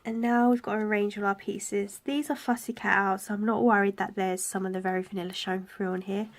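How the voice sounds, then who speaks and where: A woman with a cold and a raspy, blocked-up voice, demonstrating a card-making project softly, sometimes near a whisper. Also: medium pitch, steady tone, brisk. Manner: soft, partly whispered while working. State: congested from a cold. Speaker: stamping craft demonstrator. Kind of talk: craft tutorial.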